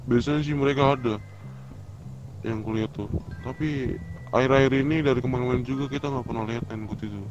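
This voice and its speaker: A man's voice: mid-range voice, fairly even intonation, fast. An Indonesian man improvising casual in-character roleplay chat in a hesitant, informal way. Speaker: player in an online crime roleplay. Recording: dull voice chat under music. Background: music.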